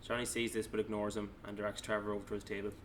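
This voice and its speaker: A man's voice: fairly low pitch, little pitch movement, rapid-fire, slight background noise. A man narrating stage directions at a table read, plainly, with small stumbles as he reads.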